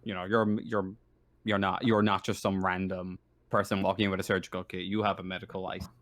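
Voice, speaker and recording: A man's voice: low voice, flat delivery, fairly quick, fairly bright tone. A man acting as game master, talking casually and improvising, often rephrasing himself as he goes.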